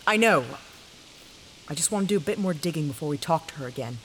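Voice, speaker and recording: An actress playing a guarded, suspicious adventurer with a caring side in an audio drama. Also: female voice, low-pitched, animated, fast, low-quality recording. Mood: wary. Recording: faint hiss behind the voice.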